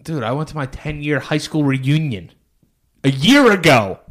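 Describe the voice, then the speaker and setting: A man's voice: fairly high pitch, very animated, normal speed, a bit bright. A man of thirty co-hosting a podcast, chatting casually in a blunt, crude, joking way. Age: 30s. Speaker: podcast co-host. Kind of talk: casual chat.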